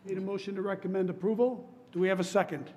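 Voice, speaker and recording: A man chairing a public commission meeting, hoarse from laryngitis, running votes in a steady, polite, procedural way. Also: sits high, quick pace. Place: council chamber.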